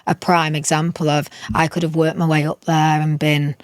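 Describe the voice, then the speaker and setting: A woman's voice: deep voice, flat delivery, moderate pace, no room sound, clean studio sound. A young woman, a musician guest, chatting in an interview and telling stories with frequent restarts. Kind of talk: casual interview chat.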